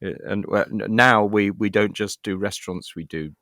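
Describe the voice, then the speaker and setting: A middle-aged man with a fairly low voice, recorded close and dry. He talks as an interview guest, telling anecdotes in a halting way and doubling back over words as he explains. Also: steady tone, fairly bright tone, dry recording. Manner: halting, stammered repetitions as he searches for words. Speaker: interview guest, actor and writer.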